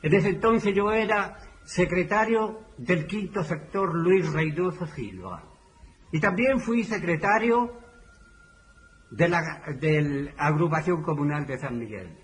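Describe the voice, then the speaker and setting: An older man with a Chilean accent tells oral history as a former community leader, in deliberate, halting phrases with pauses. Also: fairly high pitch, expressive, poor audio.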